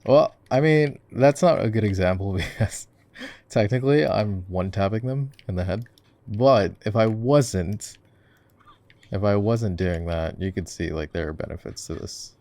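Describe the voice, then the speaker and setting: A man's voice: fairly low pitch, moderate pace, dark tone, no echo, lightly acted. A man playing an easygoing, affectionate gamer boyfriend in a casual ASMR roleplay, rambling and musing aloud as he guides his partner through a game. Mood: relaxed, instructive. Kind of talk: casual ASMR roleplay chat while gaming.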